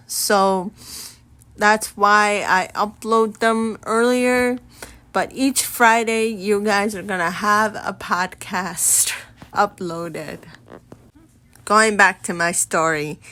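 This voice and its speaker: A woman in her twenties, from Mexico, hosting a podcast and telling her life story in an earnest way with pauses and restarts. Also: medium pitch, a bit bright. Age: 20s. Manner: earnest, unhurried, talks with many pauses and restarts. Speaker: podcast host.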